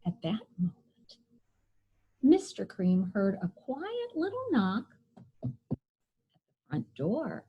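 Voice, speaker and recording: Expressive woman reading a picture book, slow. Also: high-pitched, poor audio. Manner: expressive, deliberate phrasing. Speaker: children's librarian and storyteller.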